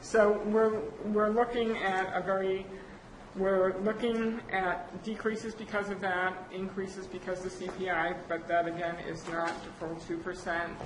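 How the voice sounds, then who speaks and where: A woman presenting a county budget update at a public board meeting, halting with many fillers and restarts. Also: medium pitch, flat delivery. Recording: echoey chamber with air conditioner noise.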